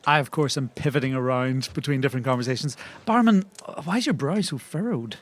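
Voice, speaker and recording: A man's voice: medium pitch, a little fast, lightly acted. A man playing an anxious, self-important optometrist in an improvised comedy role-play, with a fussy, pompous delivery. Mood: flustered.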